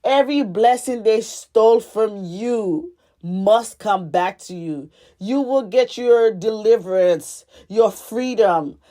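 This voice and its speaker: A woman's voice: mid-range voice, slow pace, dark tone. A woman from Haiti with a Haitian accent, giving a prophetic teaching monologue as a spiritual teacher, earnest and repetitive, often restarting her phrases. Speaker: spiritual teacher and life coach.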